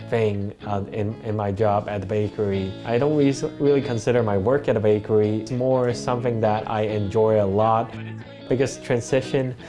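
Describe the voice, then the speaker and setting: Young man from Hong Kong, Cantonese native, low flat voice, steady filler-laden speech, faint music behind. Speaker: interviewee, bakery worker. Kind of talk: documentary interview.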